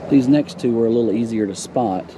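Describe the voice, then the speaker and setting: A man, giving a relaxed, easygoing tour of roadside sights outdoors, recorded on a camera mic. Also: mid-range voice, brisk, noisy background. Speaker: travel vlogger. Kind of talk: casual tour narration.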